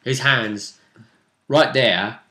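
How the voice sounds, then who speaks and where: Bright, forceful British man recapping a game. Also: medium pitch, drawn-out words. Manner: animated retelling, acting out characters' lines. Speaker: tabletop role-play game master and co-host. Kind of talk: casual chat recapping a game session.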